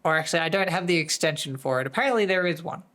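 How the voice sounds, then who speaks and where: A streamer with a voice that is going hoarse, chatting casually to viewers in a distracted, rambling way. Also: medium pitch, expressive, very fast, fairly bright tone. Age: adult, working full-time. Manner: easily distracted, rambling with many restarts. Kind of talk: casual live-stream chat.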